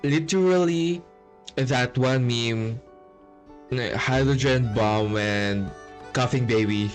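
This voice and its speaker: A man's voice: medium pitch, slow, faint music behind. A young man giving casual, off-the-cuff reaction commentary over a game, slangy and profane. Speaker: game streamer.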